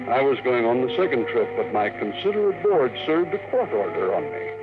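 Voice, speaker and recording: An actor playing a driven, stubborn older tycoon in a 1950s radio drama, speaking in an emphatic, commanding way. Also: male voice, mid-range voice, very animated, brisk, over music, close to an everyday voice. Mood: insistent. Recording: noisy, tinny 1950s radio recording.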